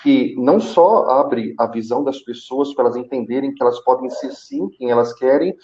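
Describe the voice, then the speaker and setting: Male, Brazilian accent, interview guest. Mid-pitched, somewhat warm tone, halting conversational delivery, lo-fi sound. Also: a little hiss or hum. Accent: Brazilian Portuguese. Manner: halting, with repeated words and drawn-out pauses. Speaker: guest, call center senior manager. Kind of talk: conversational personal account in a live interview.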